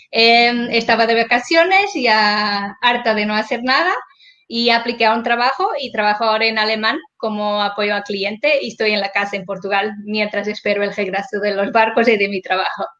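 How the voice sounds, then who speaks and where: A chatty woman talks on a video call and laughs easily between her points. Her voice sits a little high. The call audio sounds dull and lo-fi. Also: moderate pace, a bit bright. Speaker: training manager. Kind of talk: casual video call chat.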